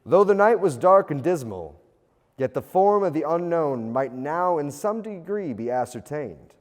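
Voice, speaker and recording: Man, dramatic storybook narration, animated swooping pitch, medium register, long echoing reverb. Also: measured pace. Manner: theatrical, expressive, old-fashioned literary delivery. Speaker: storyteller, audiobook reader. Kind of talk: dramatic scripted narration of a classic ghost tale. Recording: long reverberant hall echo.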